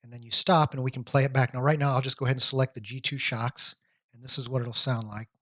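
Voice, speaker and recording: A man giving a plain, step-by-step voice-over walkthrough of headset software, now and then dropping an "uh". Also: mid-range voice, fairly even intonation, fast, no echo. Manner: plain step-by-step explaining, occasional "uh" fillers. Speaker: tech product reviewer.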